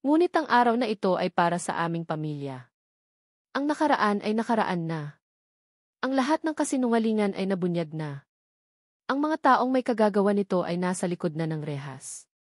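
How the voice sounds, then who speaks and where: A woman voicing a calm, resolute, devoted man who speaks clearly, steadily and sincerely in a romance story. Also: mid-range voice, normal speed, pauses often, no echo, clean studio sound. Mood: calm, firm.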